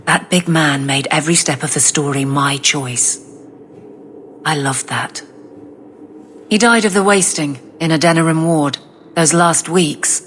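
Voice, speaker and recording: Casting: guarded, plain-spoken game warrior, deep female register, restrained tenderness. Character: tough, guarded warrior woman, awkwardly tender. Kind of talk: dramatic video game voice acting.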